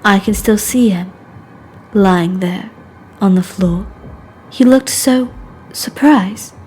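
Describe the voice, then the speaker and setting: A woman's voice: medium pitch, slow, a little mellow, slight background noise, lightly acted. A woman playing a cruel, mocking young aristocratic lady, prim, taunting and theatrical, as a game character. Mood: cold reminiscence. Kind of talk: game character voice acting reel.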